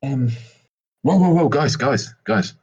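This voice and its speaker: A man's voice: medium pitch, slow, a little mellow, slightly rough, dull audio. A man playing a tabletop roleplay game, chatting casually and hesitantly, sometimes speaking in character.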